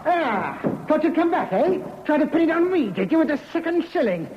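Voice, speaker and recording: A man's voice: very high-pitched, big pitch swings, fairly quick, slight background noise. An actor playing a cocky, sneering small-time crook in an old radio drama, taunting as he talks. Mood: accusing, taunting. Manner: taunting, punctuated with "eh?". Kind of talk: 1950s radio acting. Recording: muffled old radio recording.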